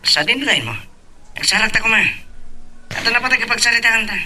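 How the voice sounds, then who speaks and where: A woman's voice: fairly high pitch, expressive, brisk, bright, forceful tone, full character voice. A woman voicing an insistent, menacing ex-lover in an Ilocano drama. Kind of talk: Ilocano radio-style drama acting. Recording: distant, off-mic sound.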